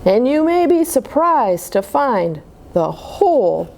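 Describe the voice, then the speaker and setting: A woman reads aloud for young children with a highly animated delivery. Her voice sits a little high and moves at an unhurried pace, explaining things simply as she goes. There is some background noise. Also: gravelly. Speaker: children's storybook reader at a church.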